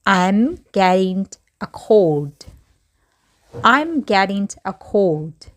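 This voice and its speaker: Woman teacher going over short english phrases real slow, word by word, normal pitch. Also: sing-song. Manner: slow, deliberate, word-by-word repetition. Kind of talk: slow repeated phrase drills with translations.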